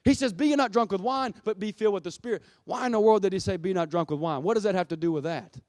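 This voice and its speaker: A man's voice: high voice, fast, darkish tone, no echo, clean studio sound. A man preaching an impassioned Pentecostal sermon, emphatic and exhorting, repeating phrases and throwing out rhetorical questions.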